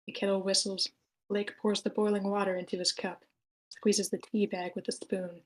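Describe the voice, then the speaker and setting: A woman's voice: medium pitch, little pitch movement, normal speed, poor audio. Female narrator, middle register, scripted screenplay narration for a table read. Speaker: narrator reading stage directions.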